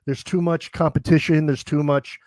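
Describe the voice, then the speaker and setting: A man's voice: a little high, level tone, moderate pace, a little mellow, no room sound. A man hosting a live online show who is getting over an illness and losing his voice, chatting and thanking his guests in a rambling way. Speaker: host. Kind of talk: live stream chat.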